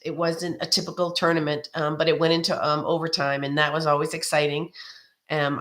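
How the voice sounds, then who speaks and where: Hoarse woman chatting in a roomy space. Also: sits low, fairly bright tone. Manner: rambling and friendly, many ums and restarts, greets viewers by name. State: hoarse from a cold. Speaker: card-making demonstrator hosting a live stream. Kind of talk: chatty live craft tutorial. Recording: roomy echo.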